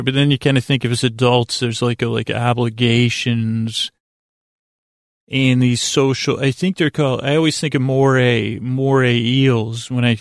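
Meandering man with a creaky voice. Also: medium pitch, no echo, clean studio sound. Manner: meandering, halting, self-deprecating, repeats himself; lulling, soothing delivery. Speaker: podcast host. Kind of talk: rambling bedtime-story podcast monologue.

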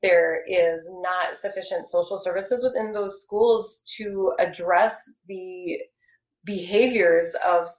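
Woman, thirties, earnest measured talk, low-pitched, roomy echo. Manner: measured, earnest, many ums. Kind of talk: casual discussion.

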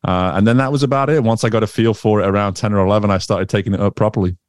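A man's voice: on the low side, steady tone, rapid-fire, darkish tone, smooth, dry recording, studio quality. A man in his thirties from England, whose Northern English accent has picked up American sounds, chatting as an interview guest with many fillers and restarts. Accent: Northern English with American influence. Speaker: guest, swim coach. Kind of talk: casual interview conversation.

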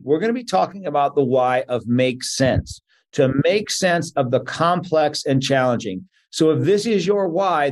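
A man hosting a podcast, reading a scripted intro and then interviewing a guest. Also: medium pitch. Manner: reads intro steadily, lists credentials. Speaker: podcast host.

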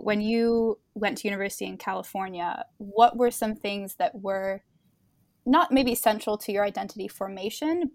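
A woman asks interview questions in a conversational but prepared way. Her middle-pitched voice crackles with vocal fry. She is articulate and encouraging, and the sound is dry and close. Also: no echo. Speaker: podcast interviewer.

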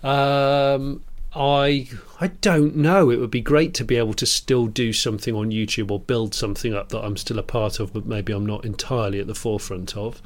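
A man's voice: medium pitch, steady tone, normal speed. Jokey man in his forties. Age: 40s. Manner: jokey, self-deprecating, trips over words. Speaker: podcast co-host. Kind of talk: casual chat.

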